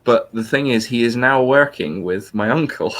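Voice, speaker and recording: A man's voice: medium pitch, moderate pace, a bit bright. A British man chatting casually on a voice call, telling stories and laughing often. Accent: British English. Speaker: guest on a chat stream. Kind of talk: casual chat.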